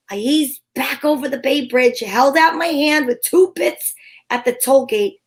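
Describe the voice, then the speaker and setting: A woman playing a wisecracking female detective, narrating her adventure in wry, slangy old-time radio style. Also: high-pitched.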